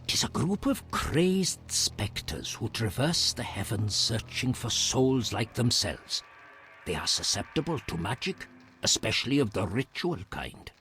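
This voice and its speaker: An actor playing a solemn, reverent hermit in a fantasy video game, with formal, measured phrasing and a husky voice. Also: male voice, mid-range voice, big pitch swings, fairly bright tone, slight background noise. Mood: solemn storytelling.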